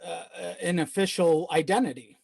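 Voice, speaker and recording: A man with a fairly high-pitched voice chats casually as a podcast guest. He jokes wryly and a little hesitantly, and the sound is very dry and close. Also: steady tone, moderate pace, vocal fry, darkish tone, slightly rough, dry recording. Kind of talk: casual comedic chat.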